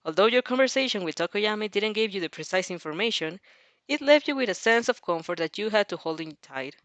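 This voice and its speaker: Woman reading fanfic out loud, super expressive, casual reactions, dry close sound. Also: medium pitch, a little fast, no echo. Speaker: fan fiction reader and reactor. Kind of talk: expressive story narration with casual asides.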